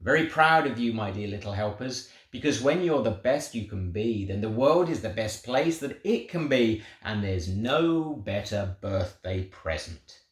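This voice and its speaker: A man voicing a tender, proud God who slowly questions little creatures in a children's storybook. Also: on the low side, expressive. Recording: roomy, echoing sound.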